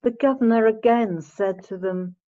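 A woman narrating a Bible story in a measured, formal read-aloud manner. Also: on the high side, dark tone. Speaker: narrator of a church scripture reading. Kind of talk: dramatic Bible reading, scripted narration. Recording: dull lo-fi home recording.

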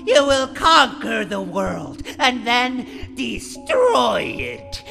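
A performer voicing a taunting, gloating demon who foretells doom in a comic dub, mocking, drawn-out and ominous. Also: mid-range voice, huge pitch swings, vocal fry, bright, forceful tone, full character voice. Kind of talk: theatrical comic-dub villain acting. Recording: music behind the voice.

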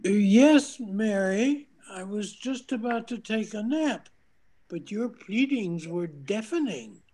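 An older woman playing God as a wry, unimpressed deity with a dry, deliberate manner in an amateur radio play. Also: mid-range voice, animated, mellow.

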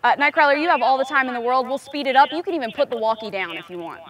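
A woman's voice: sits high, racing, fairly bright tone. A young woman doing a casual, playful interview as a team reporter, chatty and upbeat, outdoors. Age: young adult. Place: outdoors in stadium stands.

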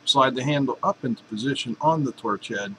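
A man's voice: medium pitch, fairly quick, background noise. A man in a home workshop explaining a welding torch swap in a casual, joking, folksy way. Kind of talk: casual workshop how-to narration.

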